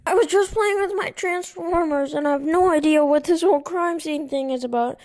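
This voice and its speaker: A woman's voice: fairly quick, a little mellow. A woman voicing a whiny, clueless little boy who chatters innocently in an amateur radio play. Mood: innocent, exasperated. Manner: squeaky, childish run-on chatter.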